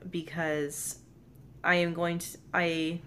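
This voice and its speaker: Soft-spoken young woman who stutters. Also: on the low side, little pitch movement, slow, a little hiss or hum. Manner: stutters with repeated sounds and blocks. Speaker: vlogger. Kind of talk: personal vlog talk to camera. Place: bedroom, indoors. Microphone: camera close in front.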